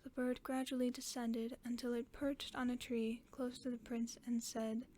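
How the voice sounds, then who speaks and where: A woman reading a fairy tale aloud in a slow, hushed whisper, as a sleep-aid narrator. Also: a little high, flat delivery, a little hiss or hum. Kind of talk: soft whispered bedtime story reading.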